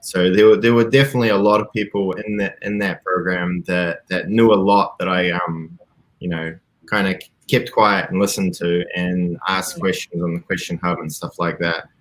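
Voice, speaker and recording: A young man from New Zealand with a very deep voice speaks over a video call. He talks in a relaxed, reflective way, often pausing on ums and starting over mid-sentence. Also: poor audio. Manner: thoughtful, frequent ums and restarts. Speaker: interview guest, BI developer. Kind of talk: casual video-call interview.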